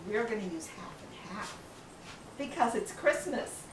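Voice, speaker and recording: A woman's voice: medium pitch, sing-song, measured pace. An older woman chatting casually while cooking in her home kitchen, telling her helper what to do. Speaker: home cook showing a recipe. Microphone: camera across the room. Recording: echoey kitchen, camera far off, noisy.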